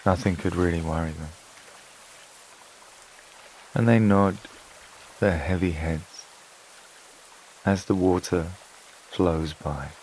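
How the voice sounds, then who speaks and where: A man narrating a scripted sleep meditation in a calm, soothing, unhurried way, with long pauses between phrases. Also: low voice, slight background noise, poor audio. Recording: water and rain sounds behind voice. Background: flowing stream, rain-like ambience.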